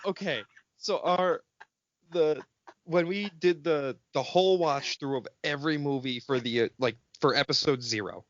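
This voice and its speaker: A man's voice: on the high side, moderate pace, no room sound, lo-fi sound. A man chatting casually as a podcast co-host, swearing and being playfully melodramatic. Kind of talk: casual fan podcast chat.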